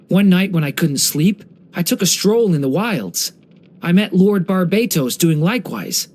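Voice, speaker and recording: A man voicing a young dragon boy in human form for a video game, speaking gently, politely and earnestly, like someone telling a story. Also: high voice, expressive, slow pace, exaggerated voice. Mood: gentle, earnest. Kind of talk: English video game character voice lines.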